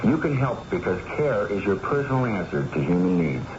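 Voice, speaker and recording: A man's voice: low-pitched, big pitch swings, brisk. A man reading a scripted charity appeal as a public service radio announcer. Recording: muffled, noisy vintage radio recording.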